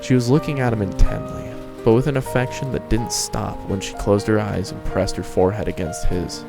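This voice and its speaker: A man's voice: fairly low pitch, steady tone, fairly quick, vocal fry, over music. A man reading scripted fan fiction narration as the storyteller.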